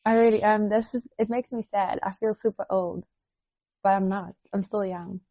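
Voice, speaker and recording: A woman's voice: medium pitch, steady tone, no echo. South African woman, 30s, podcast co-host, talkative, laughs easily; muffled lo-fi, dead dry room. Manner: chatty, laughs often, quick asides. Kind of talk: casual chat.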